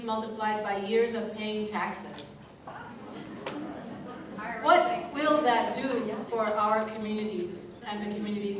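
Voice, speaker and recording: Lady emcee with a big voice way off the mic, boomy echoey hall, room chatter behind. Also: noisy background. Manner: big projecting voice, speaks away from the mic; reads from a script with asides. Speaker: event emcee for a college scholarship evening. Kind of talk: public speech to an audience. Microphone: stage mic kept at a distance. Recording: echoey room, distant mic, crowd ambience.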